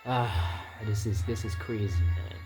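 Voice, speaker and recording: A man's voice: fairly low pitch, measured pace, a little mellow, soft background music. Barbadian man rambling over gameplay. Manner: rambling, restarts words, laughs often. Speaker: gaming YouTuber. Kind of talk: casual gameplay commentary.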